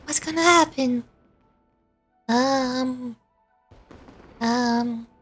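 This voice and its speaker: A woman's voice: sits high, extremely slow, fairly bright tone, soft background music. A woman streaming a video game, giving casual commentary and thinking aloud in short bursts.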